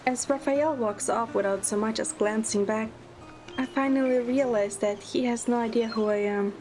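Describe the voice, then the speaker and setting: High-pitched young heroine narrating, played by a woman. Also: steady tone, normal speed, noisy background, close to an everyday voice. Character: young woman heroine, baffled, fond, quick-witted narrator. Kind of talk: first-person scripted narration.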